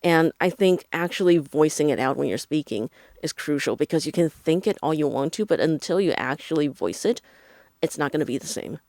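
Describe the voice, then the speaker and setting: Lively Taiwanese-raised woman teacher. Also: low-pitched, a little fast, no room sound, clean studio sound. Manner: animated, acts out quoted voices. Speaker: English teacher, guest. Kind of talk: casual podcast conversation.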